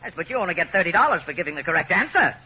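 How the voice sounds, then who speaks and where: A man's voice: sits high, big pitch swings, very fast, sharp tone, husky, full character voice. A man playing a polite, formal quiz show host in a 1940s radio comedy. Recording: noisy, muffled vintage radio recording.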